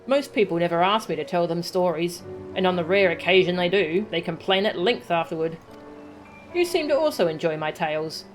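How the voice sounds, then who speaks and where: A woman voicing an old male storyteller who loves telling tales, read aloud from game dialogue in a steady narrating tone. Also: fairly low pitch, brisk, faint music behind, natural voice, rough recording. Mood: storytelling.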